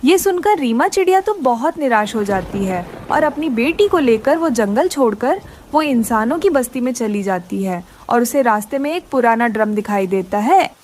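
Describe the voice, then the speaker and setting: High-pitched woman narrating a children's story. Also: expressive, moderate pace, few pauses, a little mellow, slight background noise.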